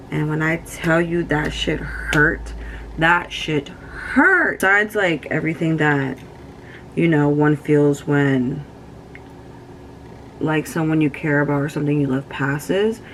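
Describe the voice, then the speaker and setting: Gravelly young woman chatting candidly. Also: deep voice, a little hiss or hum, rough recording. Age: 20s. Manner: candid, chatty, swears freely, lots of fillers. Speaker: beauty vlogger. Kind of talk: casual get-ready-with-me chat.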